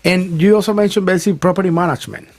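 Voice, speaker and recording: A man's voice: high voice, fast talker. A man hosting a radio morning show, interviewing guests with enthusiasm and many restarts. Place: radio studio.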